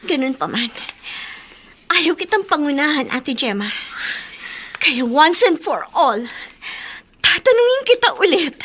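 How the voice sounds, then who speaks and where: A woman's voice: sits high, very animated, a little slow, long pauses, a bit bright, heavily acted. A Filipino woman acting an accusing, betrayed young sister in a radio drama, speaking with dramatic emphasis. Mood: suspicious, hurt.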